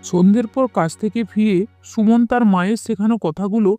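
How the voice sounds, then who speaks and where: High-pitched male story narrator. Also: a little fast, dark tone, soft background music. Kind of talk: scripted cartoon story narration.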